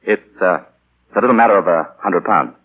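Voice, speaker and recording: An actor playing a cocky, charming young spendthrift gentleman, all glib banter in 1940s radio style. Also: low-pitched, fairly quick, breathy edge. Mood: breezy, flattering. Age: young adult. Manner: glib, breezy upper-class banter. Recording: muffled vintage 1940 radio broadcast.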